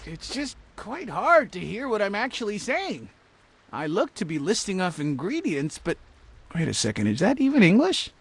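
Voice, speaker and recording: An excitable, fussy game-cartoon scientist talks in a high, husky voice that cracks with creak. The delivery is dramatic and wordy, always expressive. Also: male voice, normal speed, full character voice. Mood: baffled. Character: excitable, fussy scientist, eager and easily appalled. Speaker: voice actor in a game cutscene. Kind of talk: animated cartoon game acting.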